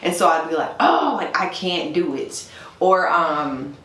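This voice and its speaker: Woman, low voice, chatty, casual, distant mic, echoey room. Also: lively intonation, unhurried, a bit bright, a little hiss or hum. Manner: chatty, laughs easily, lots of "like". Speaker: guest vocalist. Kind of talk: casual conversation about singing.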